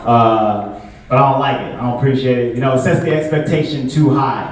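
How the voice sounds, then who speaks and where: A young man performing a stand-up comedy set in a relaxed, conversational way, full of filler words. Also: medium pitch, a little fast. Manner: laid-back, conversational, frequent filler words. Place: comedy show venue with a live audience. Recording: echoey room, distant mic, audible noise.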